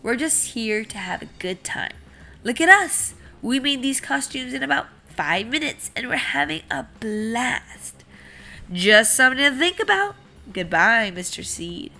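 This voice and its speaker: A woman's voice: a little high, big pitch swings, measured pace, pushed and bright, heavily acted. A woman voicing a kindly, polite pumpkin seed who gives earnest, reassuring advice in a children's storybook. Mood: earnest, encouraging. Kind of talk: children's picture book read-aloud.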